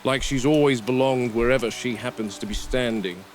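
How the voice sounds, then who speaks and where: Man playing a measured game warden over field noise. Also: medium pitch, lightly acted. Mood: quietly admiring. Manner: measured, deliberate, observational. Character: weary, watchful male game warden of a dinosaur park. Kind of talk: found-footage horror log narration. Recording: noisy field recording with vehicle ambience.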